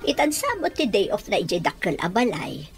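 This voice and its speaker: A woman acting in a radio drama as a fond, hopeful mother with a husky voice. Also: mid-range voice, big pitch swings, brisk, over music, lightly acted.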